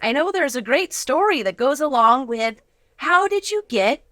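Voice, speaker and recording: A woman hosting a casual, conversational interview, chatty and quick to echo back what she is told. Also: high-pitched, animated, bright, forceful tone. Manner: chatty, reacts and echoes answers.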